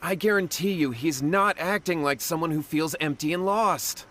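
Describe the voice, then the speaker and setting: A man's voice: fairly high pitch, moderate pace, fairly bright tone, close to an everyday voice. A man voicing a desperate young man in a video game, earnest and anxious as he pleads for help.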